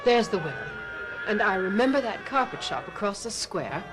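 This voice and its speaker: An actress playing a desperate, sharp-tongued middle-aged mother in a radio mystery drama, with urgent dramatic delivery. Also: mid-range voice, very animated, moderate pace, over music, close to an everyday voice, poor audio. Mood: anxious, insistent.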